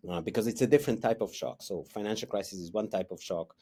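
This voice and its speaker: A middle-aged man with an Israeli accent, an economics professor answering interview questions haltingly, with many fillers and restarts. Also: on the low side, mellow, slightly rough.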